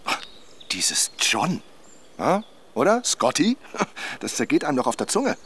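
A man's voice: medium pitch, wildly swooping pitch, a little slow, a bit bright, slightly rough, heavily acted. An actor playing a sarcastic, talkative husband in a radio drama, mocking and theatrical in his delivery. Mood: mocking, playful. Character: sarcastic, talkative husband on a wilderness camping trip. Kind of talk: German radio drama acting.

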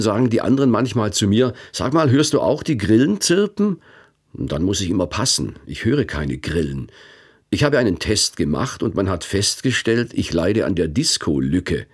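A man's voice: fairly low pitch, darkish tone, slightly rough. A man in his fifties hosting a radio feature, narrating in a reflective, wry first-person way. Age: 50s.

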